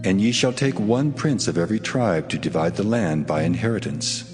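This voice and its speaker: A man performs a solemn, commanding God in a dramatized scripture reading. The deep voice rings out in a long, cavernous reverb, with music playing clearly underneath. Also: lively intonation, normal speed. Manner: solemn, authoritative, declamatory. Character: God, commanding male divine voice giving orders.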